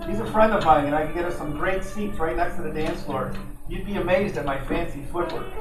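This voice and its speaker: A man's voice: a little high, fairly quick, lightly acted. Guy doing a wisecracking noir private eye, old radio show style, kinda far from the mic in an echoey room. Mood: flirtatious. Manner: wry, slangy tough-guy talk. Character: wisecracking, flirtatious hard-boiled male private detective. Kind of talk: old-time radio detective drama, noir narration and dialogue. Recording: roomy, distant, music behind.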